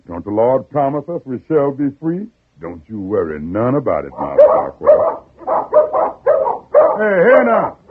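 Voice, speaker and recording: A man's voice: medium pitch, noisy background. An actor playing a humble, pious freed man from the swamp, with a folksy, deferential American Southern dialect, in an old radio drama. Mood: forgiving, then alert. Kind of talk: 1950s radio drama acting. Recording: muffled old radio broadcast recording.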